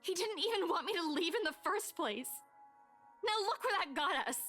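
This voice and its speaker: Casting: fragile, grieving young woman for horror game, high pitch, music underneath. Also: lively intonation, moderate pace, sharp tone, full character voice. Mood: upset, defensive. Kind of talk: visual novel voice acting.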